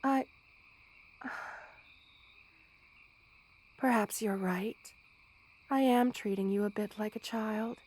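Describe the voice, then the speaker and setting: A woman playing a gentle, motherly, centuries-old spider queen in an ASMR roleplay, speaking in formal, measured, soothing phrases. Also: mid-range voice. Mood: gently apologetic. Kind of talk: ASMR roleplay, intimate scripted monologue to listener.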